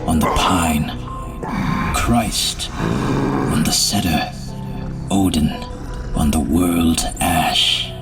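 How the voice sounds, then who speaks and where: Under loud music, a very deep voice broods as a male actor plays him. He is a haunted, grim madman of gothic audio drama. His delivery is theatrical and very slow, sinking into hushed whispers. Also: animated, gravelly, recorded from a distance, heavily acted. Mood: brooding, feverish.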